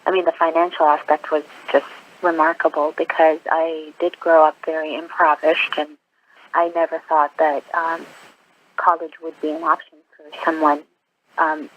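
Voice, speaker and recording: A woman in her twenties, from Iraq, speaks earnestly with frequent pauses and ums. Her voice is gravelly and stays flat in pitch. The sound is dull and lo-fi, like a phone line. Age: 20s. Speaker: interview guest, nurse. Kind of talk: phone interview.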